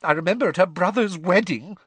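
A man voicing a glum, touchy teenage girl ghost in a storybook, with a shrill, wailing, self-pitying delivery. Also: a bit bright, slightly rough, dry recording. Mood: aggrieved, self-pitying. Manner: wailing, drawn-out, mournful delivery.

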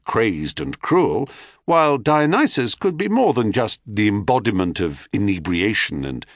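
Lively low-voiced man narrating with wry wit. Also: no room sound, studio quality. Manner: wry, dryly witty asides; expressive, theatrical delivery. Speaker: audiobook narrator. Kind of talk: scripted storytelling narration.

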